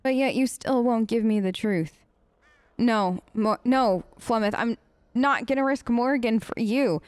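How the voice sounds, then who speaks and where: A woman gamer hosting a role-play let's play, conversational, expressive and emphatic. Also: a little high, a little slow, smooth, no echo. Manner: expressive, emphatic, incredulous asides. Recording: close dry mic, faint game music. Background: faint game music.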